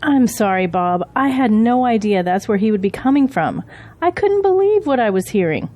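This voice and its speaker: A woman's voice: a little high, big pitch swings, normal speed, a little mellow. A woman playing a loyal, frank political campaign aide in an audio drama, earnest and sympathetic. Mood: apologetic, dismayed.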